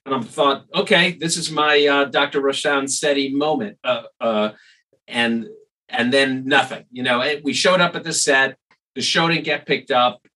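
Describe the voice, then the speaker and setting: A male podcast host with a bright, forceful edge to his voice and a pitch in the middle range. He talks in a relaxed, chatty way and tends to drift into personal stories. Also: normal speed, low-quality recording. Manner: chatty, storytelling asides. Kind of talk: casual interview chat.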